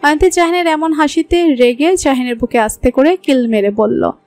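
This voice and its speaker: A woman's voice: high voice, normal speed, darkish tone, no room sound, faint music behind. A woman with a Bangladeshi accent reading scripted narration for a romantic story.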